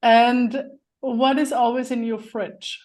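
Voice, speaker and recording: A woman's voice: on the high side, steady tone, a little mellow. A woman hosting a podcast in casual interview chat, upbeat and laughing often. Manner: upbeat, laughs often, slow careful intro. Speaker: podcast host.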